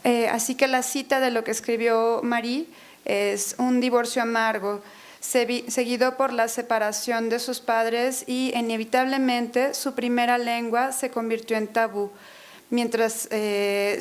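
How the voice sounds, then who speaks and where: A woman interprets a lecture phrase by phrase in a university auditorium. Her voice is somewhat high, and she hesitates often, filling gaps and restarting words to correct herself. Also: flat delivery, measured pace. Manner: hesitant, many fillers and self-corrections.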